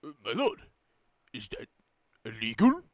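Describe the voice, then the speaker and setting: Man doing Newt, a flustered, animated alien viceroy. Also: mid-range voice, a little slow, dry recording, studio quality. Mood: nervous, deferential. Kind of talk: comedic amateur script table read.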